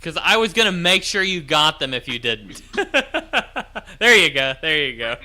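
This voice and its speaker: Bright-voiced, jokey man in casual chat. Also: fairly high pitch, animated, a little hiss or hum. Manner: agreeable, jokey, quick to chuckle. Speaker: livestream host from a ham radio company. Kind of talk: casual livestream chat about amateur radio.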